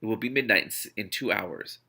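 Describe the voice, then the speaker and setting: Man reading as a creaky, formal, patient vampire. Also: lowish voice, bright, forceful tone, full character voice. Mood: patient, measured. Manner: slow, patient, measured, formal. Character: calm, formal, ancient male vampire.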